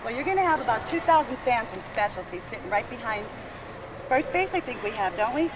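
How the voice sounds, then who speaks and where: A woman's voice: a little high, big pitch swings, fairly quick. A young woman interviewing at an outdoor ballpark with a handheld mic, peppy and cheerleading. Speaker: company video interviewer. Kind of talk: upbeat on-camera interviews. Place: outdoor baseball stadium. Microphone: handheld mic on camcorder audio. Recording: distant camcorder audio with noise.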